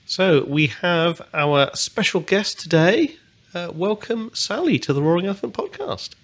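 A man's voice: high voice, very animated, a little slow. A man hosts a podcast interview, hesitant and filled with uhs as he introduces his guest. He repeats words as he finds his way into each question. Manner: hesitant, many uhs, friendly. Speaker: podcast host. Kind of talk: casual interview talk.

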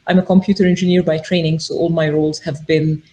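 A middle-aged woman from Egypt speaks as a podcast guest. Her talk is measured and explanatory, and she pauses often on ums as she lays out her thoughts. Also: sits low, flat delivery, dark tone. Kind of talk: casual interview talk.

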